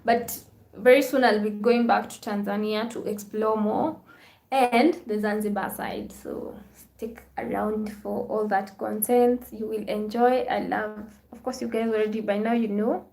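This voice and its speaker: A woman's voice: on the high side, roomy sound. A woman hosting a live vlog stream, chatting casually with viewers, reading their comments and thanking them often.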